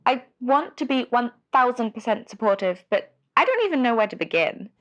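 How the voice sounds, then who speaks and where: A woman's voice: a little high, big pitch swings, fairly quick, dull audio. A woman playing an anxious, devoted caller to a radio advice show in an audio drama, hesitant and earnest. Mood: earnest, flustered. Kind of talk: scripted audio drama phone-in.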